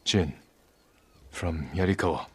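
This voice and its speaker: An actor playing a grim, driven young samurai in a video game, speaking in a terse, resolute way. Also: male voice, low voice, a little slow, a bit bright, breathy edge, exaggerated voice. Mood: grim, determined.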